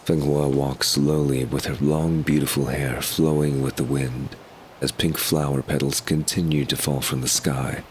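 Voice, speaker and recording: Extremely deep-voiced male narrator. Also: little pitch movement, unhurried. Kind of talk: scripted audio drama narration. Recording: clear background ambience noise.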